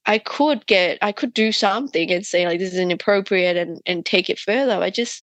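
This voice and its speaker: A woman's voice: mid-range voice, brisk, no room sound. A young adult woman from Myanmar with an Australian accent, telling stories as a guest in a casual interview. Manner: animated storytelling, laughing often.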